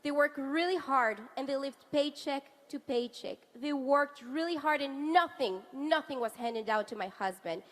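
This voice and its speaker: A woman's voice: high voice, normal speed, few pauses. A woman in her thirties from Venezuela, raised in Canada, giving a rousing political rally speech with rhetorical build-ups. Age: 30s. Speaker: rally speaker, wife of a party leader.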